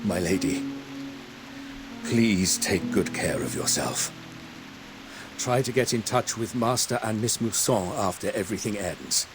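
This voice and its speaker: Elderly devoted butler, unhurried, expressive pitch, gentle deference, game acting, music behind. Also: male voice. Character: devoted, courteous elderly male butler of a manor.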